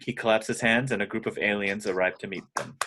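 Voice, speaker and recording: A man's voice: fairly low pitch, fairly even intonation, a little fast, sharp tone. A man narrating scripted stage directions at an amateur table read.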